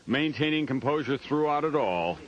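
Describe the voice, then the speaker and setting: Guy doing documentary narration, medium pitch, kinda muffled lo-fi sound. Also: lively intonation, normal speed. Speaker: narrator and reporter. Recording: dull lo-fi audio, faint noise.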